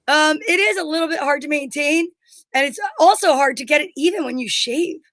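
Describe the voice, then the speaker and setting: High-pitched, upbeat woman co-hosting. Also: a little fast, a bit bright, no room sound. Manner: upbeat, enthusiastic. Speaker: co-host of an online comedy show. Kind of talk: casual chatty live show banter.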